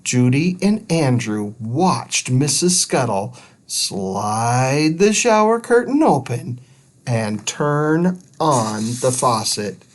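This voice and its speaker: A man reading a children's book aloud in an animated narrating style. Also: mid-range voice, slow pace. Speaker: story reader.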